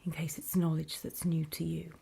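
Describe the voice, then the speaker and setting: A woman playing a nervous, apologetic apocalypse survivor in a horror ASMR roleplay, in a soft, breathy whisper with a halting, self-conscious delivery. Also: sits low, flat delivery, heavily acted. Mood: uneasy, earnest. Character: nervous, apologetic female zombie-apocalypse survivor recording a warning.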